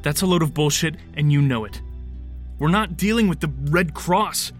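A man's voice: fairly high pitch, moderate pace, soft background music, close to an everyday voice. A man playing a wary, defiant fugitive in handcuffs in an audio drama, speaking with cynical urgency. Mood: urgent, frustrated.

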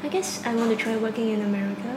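A young woman from China speaks in a casual interview, hesitant and stumbling over her words, often breaking into small laughs. She is recorded in an echoey room with clear background noise behind her. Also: a little high, quick pace. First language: Cantonese. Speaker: interviewee, university student.

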